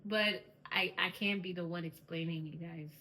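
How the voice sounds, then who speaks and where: A young woman raised in Canada, co-hosting a couple's vlog and chatting in an unhurried way, laughing easily. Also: fairly low pitch, fairly even intonation, sharp tone, poor audio. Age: young adult. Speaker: vlog co-host. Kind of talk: casual chat.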